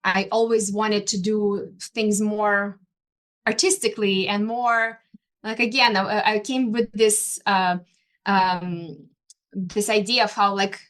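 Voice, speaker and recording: A woman from Ukraine speaks in a relaxed interview. Her talk is full of hesitant fillers and restarts as she searches for words. The pitch sits in the middle range for a woman. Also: takes it slowly. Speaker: interior designer and artist. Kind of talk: conversational interview.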